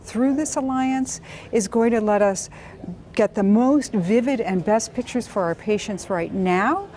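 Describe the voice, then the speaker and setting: Mellow-voiced woman in a news soundbite. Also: on the high side, measured pace, a little hiss or hum. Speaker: interviewee.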